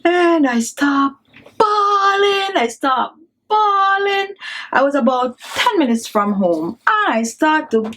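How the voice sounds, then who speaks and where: A woman's voice: sits high, very animated, takes it slowly, fairly bright tone. A woman in her thirties from Jamaica with a strong Jamaican accent, chatting casually to camera and laughing often. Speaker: beauty vlogger. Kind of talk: casual vlog chat.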